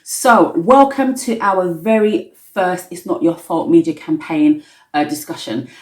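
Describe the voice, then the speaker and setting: A woman, a magazine editor, giving a measured spoken introduction with fillers. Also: on the low side, a little mellow. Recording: echoey room.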